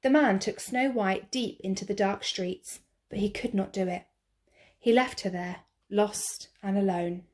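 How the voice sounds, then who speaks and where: A woman teacher reading a picture book aloud to children, slow, clear and expressive. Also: mid-range voice.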